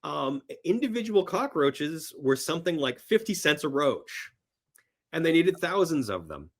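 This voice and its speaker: A man as a podcast guest chatting casually and enthusiastically about films, telling stories in an animated, emphatic way. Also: on the high side, measured pace. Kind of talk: enthusiastic casual film chat.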